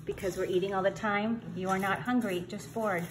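A woman's voice: medium pitch, steady tone, fairly quick. A middle-aged woman casually demonstrating a recipe in her home kitchen, chatty and joking at her own expense. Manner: chatty, joking, self-teasing. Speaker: home cook vlogger. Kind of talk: casual cooking demo. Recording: noisy kitchen with sizzling pan.

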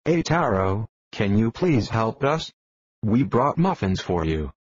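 A man's voice: lowish voice, big pitch swings, slow pace, slightly rough, highs cut off, heavily acted. A man voicing a brash young male cartoon hero who talks bluntly and swears casually.

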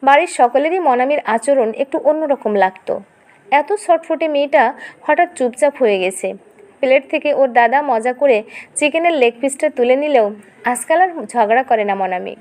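High-voiced woman narrating a story. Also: animated, normal speed, darkish tone. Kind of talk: scripted dramatic audio story narration.

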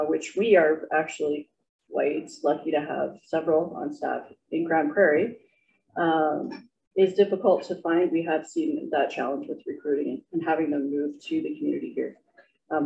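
A man speaking as a company representative at a formal meeting, explaining in a measured, halting way. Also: fairly high pitch, gravelly, darkish tone. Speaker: utility company representative presenting to council. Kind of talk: formal presentation and answers at a council meeting. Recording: dull audio with noticeable background noise.

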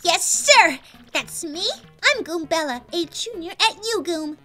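A woman's voice: very high-pitched, animated, measured pace, pushed and bright, soft background music, exaggerated voice. A woman voicing a perky, eager young female college student creature in a video game, bubbly and exclamatory. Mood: excited, eager.

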